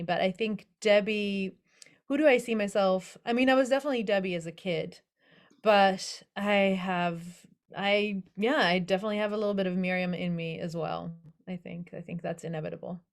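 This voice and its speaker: A woman's voice: medium pitch, fairly quick. A young adult woman author being interviewed, talking casually and thoughtfully with many fillers and restarts. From Netherlands. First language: English as first language, also Hebrew and Dutch.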